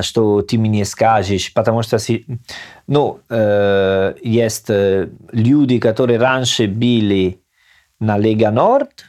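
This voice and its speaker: A man from southern Italy, a native Italian speaker with an Italian accent, talking casually in a learned language with many hesitant pauses. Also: medium pitch, a little mellow. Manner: hesitant, halting non-native phrasing. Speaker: guest. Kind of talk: casual conversation.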